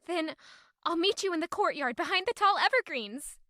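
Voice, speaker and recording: A woman playing a sweet, earnest young noble lady in love, gently questioning, in a romantic audio drama. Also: very high-pitched, lively intonation, moderate pace, no room sound, clean studio sound, exaggerated voice. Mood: affectionate.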